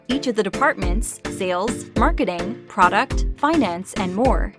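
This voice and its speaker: A woman giving a scripted promotional voice-over with a smooth, polished read. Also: medium pitch. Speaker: ad narrator. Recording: voice-over over background music.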